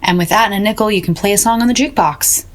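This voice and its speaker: A woman's voice: mid-range voice, very fast, natural voice. An actress playing a doubting, muddled woman painter in an audio drama, resigned and vague in manner.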